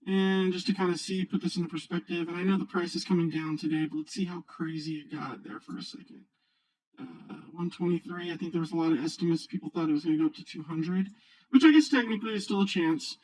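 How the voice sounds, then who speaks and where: A man speaking through a voice changer, giving casual, halting, unscripted screen-share commentary as a video host. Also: a little high, fairly even intonation, a little mellow, low-quality recording. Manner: halting, rambling, unscripted delivery. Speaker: video host, new finance YouTuber.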